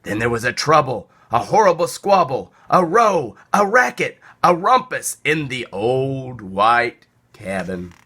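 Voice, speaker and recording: A man reading a children's story aloud in a theatrical, deliberate narrating style. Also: medium pitch, big pitch swings, sharp tone, breathy edge. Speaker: storybook reader.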